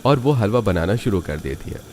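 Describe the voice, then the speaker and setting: A man with a low-pitched voice narrates a cartoon family story. His delivery is scripted storytelling, laid out in full, connected sentences. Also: sing-song, fairly quick, a little mellow, slight background noise.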